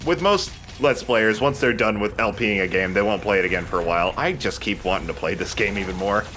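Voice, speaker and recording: Low-pitched guy doing casual let's play commentary, sharp forceful voice, bit of noise behind. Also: quick pace. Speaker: let's play host and player. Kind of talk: casual gaming commentary with banter. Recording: faint background noise.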